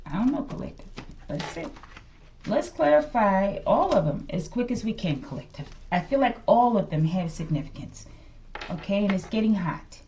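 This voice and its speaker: A woman giving an improvised tarot reading in casual talk, thinking aloud haltingly and often checking in with her listeners. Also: medium pitch, big pitch swings, dark tone, a little hiss or hum, rough recording. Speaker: tarot reader and channel host.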